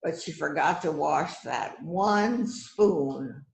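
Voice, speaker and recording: Self-assured, musing detective for amateur stage mystery, played by a woman; unhurried, animated intonation, roomy sound. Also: low voice, vocal fry, exaggerated voice. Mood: confident. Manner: deliberate, musing with frequent hmms. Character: shrewd, self-assured police detective, the boss, questioning suspects. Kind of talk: amateur readers theater murder mystery. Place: indoors on a virtual event call. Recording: roomy echoing video call sound.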